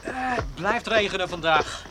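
An actor playing a young, obliging farm lad in a naturalistic radio drama, answering briefly. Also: male voice, high-pitched, expressive, normal speed, background noise. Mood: casual.

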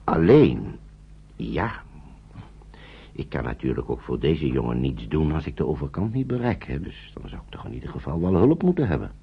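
Very deep, husky older gentleman. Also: big pitch swings, heavily acted. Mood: earnest, hesitant. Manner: hesitant, deliberate, repeats himself, polite. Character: kindly older gentleman shepherding foster children, thoughtful, courteous. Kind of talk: naturalistic radio play acting. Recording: dull, lo-fi old radio play recording.

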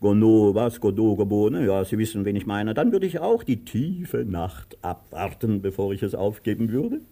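An actor playing a cruel, pompous master of about sixty in a radio drama, declaiming theatrically and swinging between grandeur and self-pitying whining. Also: male voice, fairly low pitch, big pitch swings, moderate pace, dark tone. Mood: grandiloquent. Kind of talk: radio drama stage acting.

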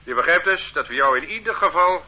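An actor playing a stern, condescending police officer who lectures a detainee in an authoritarian way in a radio drama. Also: male voice, on the high side, animated, fairly quick, husky. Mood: lecturing, condescending. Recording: muffled old radio play recording.

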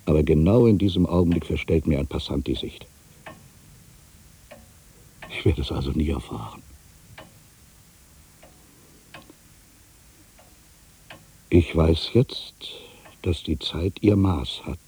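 An actor playing an aging, weary writer in a literary monologue, brooding and wry, with a gravelly voice and deliberate, weighted pauses. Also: male voice, a bass voice, warm tone, heavily acted, rough recording. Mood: melancholy. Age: older.

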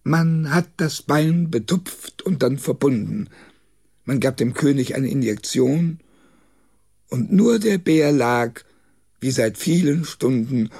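Guy reciting funny rhyming poem for kids, really slow n deliberate, creaky voice. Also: medium pitch, mellow. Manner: measured, deliberate storytelling delivery. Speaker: reader of a children's poem. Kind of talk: expressive recitation of rhymed comic verse.

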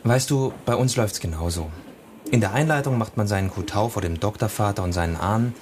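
An actor playing a knowing, collegial fellow student in a radio drama. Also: male voice, lowish voice, brisk, background noise, natural voice. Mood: confiding. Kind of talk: German radio drama dialogue.

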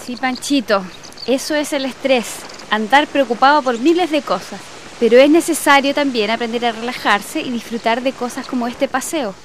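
A woman plays a Chilean mother in a radio drama, caring and quick to give gentle advice. Her voice is high, over faint outdoor ambience. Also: a little hiss or hum, close to an everyday voice. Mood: gently advising.

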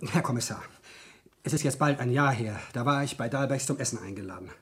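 A nervous guilty office clerk in a 70s german radio drama, husky, halting, pleading with the police. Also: male voice, medium pitch, fairly bright tone. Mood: defensive, uneasy. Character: cornered, guilt-ridden male office clerk confessing. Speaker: actor in a crime radio play. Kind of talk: 1970s German radio play acting.

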